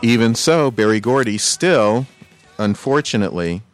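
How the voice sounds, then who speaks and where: A man's voice: mid-range voice, lively intonation, normal speed. A man hosting a radio music show, chatting casually with his co-host and laughing often.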